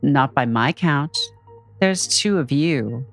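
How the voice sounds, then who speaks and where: Woman playing a very deep, slow, gloating villainess. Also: expressive, faint music behind, exaggerated voice. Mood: gloating menace. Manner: slow, mocking, self-assured. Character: gleefully evil, taunting villainess, demented and menacing.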